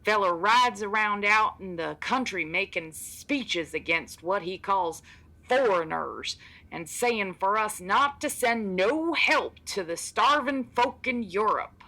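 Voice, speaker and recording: A woman's voice: medium pitch, lively intonation, takes it slowly, sharp tone, exaggerated voice. A woman playing a shrewd, stubborn middle-aged farm woman with blunt, folksy rustic speech in a radio drama. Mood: matter-of-fact, folksy. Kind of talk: old-time radio serial acting.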